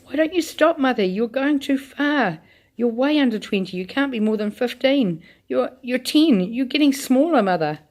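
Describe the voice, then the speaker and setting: A woman voicing a frantic middle-aged mother, crying out in shrill alarm. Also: lively intonation, unhurried, darkish tone.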